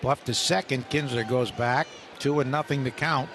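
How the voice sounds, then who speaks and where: A man doing radio play-by-play of a baseball game, rising sharply in pitch when calling live action. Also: moderate pace. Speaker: play-by-play announcer. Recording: radio broadcast with loud ballpark noise.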